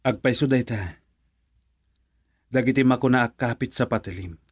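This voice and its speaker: A man's voice: mid-range voice, moderate pace, breathy edge, dry recording, studio quality, lightly acted. A man playing a frank young confidant to his lovestruck pal in a radio drama, talking casually. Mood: matter-of-fact.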